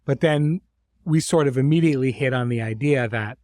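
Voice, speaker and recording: A man's voice: mid-range voice, a little fast, darkish tone, no room sound. A man talking reflectively as a series creator in a behind-the-scenes podcast, explaining things articulately with vivid imagery.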